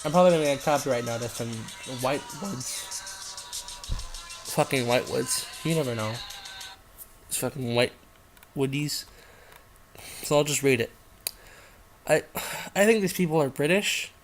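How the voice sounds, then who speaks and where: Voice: mid-range voice, animated, moderate pace, long pauses, over music, rough recording. A host casually reading fan fiction aloud and rambling off into irreverent, giggly side comments. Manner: giggly, irreverent, easily sidetracked.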